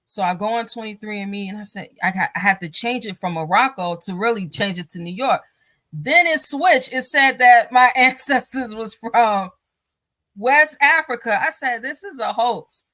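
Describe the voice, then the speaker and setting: A woman's voice: fairly high pitch, normal speed, sharp tone, no echo. A married woman, a guest on a talk show, speaking casually in a rambling way with many fillers and restarts, and often laughing as she talks. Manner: laughs often while talking.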